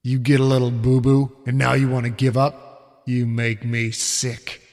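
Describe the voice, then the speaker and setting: A man's voice: medium pitch, monotone, measured pace, a little mellow, long reverb tail, natural voice. A man voicing a harsh, abusive dead father with a thick growl and a taunting manner. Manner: taunting, bullying.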